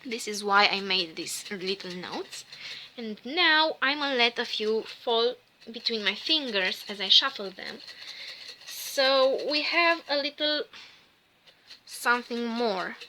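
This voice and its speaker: A Romanian woman whose first language is Romanian, giving a slow, musing rune reading in English with a Romanian accent. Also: a little high, lively intonation, bright, forceful tone, slight background noise, low-quality recording. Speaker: tarot reader.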